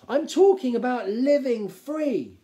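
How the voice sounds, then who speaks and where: A man's voice: extremely high pitch, expressive, a little slow, warm tone, pitched above the performer's own voice. Man playing an eager, stammering young gnome. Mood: flustered idealism. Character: earnest, awkward young male gnome reformer.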